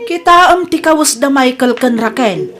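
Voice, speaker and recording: A woman's voice: sits high, animated, normal speed, a bit bright. A woman playing a nosy, fault-finding younger village gossip in a radio comedy drama, exclamatory and needling, with a Filipino accent. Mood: gossipy, scornful.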